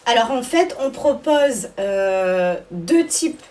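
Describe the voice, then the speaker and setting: Female interview guest, school director, fairly high voice, explanatory with hesitations, recorded slightly off-mic. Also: animated, slow pace, darkish tone, far from the mic.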